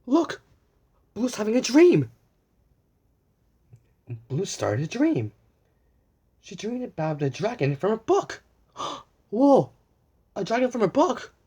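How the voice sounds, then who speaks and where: Kids story reader, super animated swoopy pitch, bit husky, stumbles over words here and there. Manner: halting, with stumbles and repeated words; exclaims with exaggerated wonder for children. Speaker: storyteller and host reading a picture book aloud. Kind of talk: children's read-aloud, addressing young viewers with questions.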